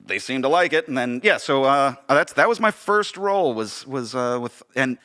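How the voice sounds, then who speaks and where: A man with an American accent and a bright, forward voice. He tells stories in a loose, conversational style, animated and happy to poke fun at himself. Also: mid-range voice, moderate pace. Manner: animated, self-deprecating, uses quoted dialogue. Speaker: podcast guest and theater director. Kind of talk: casual conversational storytelling.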